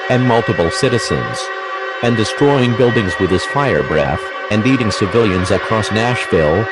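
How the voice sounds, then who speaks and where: Loud music bed, male announcer, fairly low voice, scripted emergency alert.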